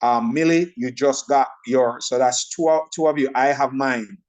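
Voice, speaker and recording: A man with a Jamaican accent blended with American and Nigerian tones, presenting a trading system to a group on a video call, talking fast and excitedly. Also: medium pitch. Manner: excited, speaks fast and runs over words.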